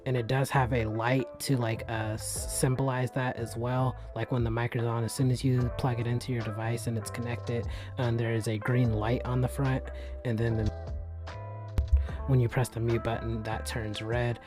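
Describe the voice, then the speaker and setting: Soft-voiced man, flat relaxed delivery. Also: medium pitch, normal speed, over music. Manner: relaxed, chatty, many fillers. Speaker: tech reviewer, channel host. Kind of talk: casual product review vlog. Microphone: USB desk microphone.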